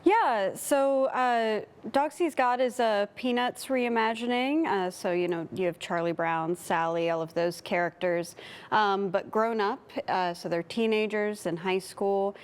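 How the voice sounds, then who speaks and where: A woman speaks as an interview guest from a theater cast. Her voice is smooth and clear, a touch low. She takes her time, sounding conversational and a little hesitant.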